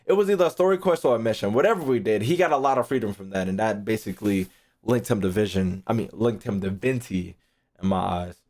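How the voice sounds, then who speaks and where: A man's voice: on the low side, fast talker, darkish tone. A man streaming casually, thinking aloud in a rambling way and swearing freely as he chats. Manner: rambling, foul-mouthed.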